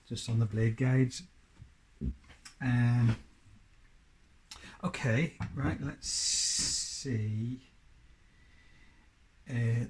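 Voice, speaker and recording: Male DIY presenter, unhurried, halting, self-directed workshop chatter; noisy recording with clicking. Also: medium pitch, darkish tone. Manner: muttering to himself while working, halting. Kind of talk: casual hands-on workshop narration. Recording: clearly noisy, with clicks and tool handling.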